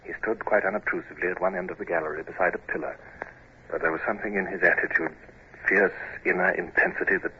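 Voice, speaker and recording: Husky British naval commodore, old radio. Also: male voice, sits low. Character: commanding, urgent British naval commodore, adult man. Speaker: lead actor and narrator. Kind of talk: old-time radio drama acting. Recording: dull, muffled old radio recording.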